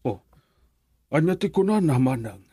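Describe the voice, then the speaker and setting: A man's voice: a little high, big pitch swings, moderate pace, dark tone, husky, no echo, clean studio sound. A man playing a loving, resigned middle-aged father in an Ilocano radio drama, with an Ilocano Filipino accent. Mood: resigned, concerned.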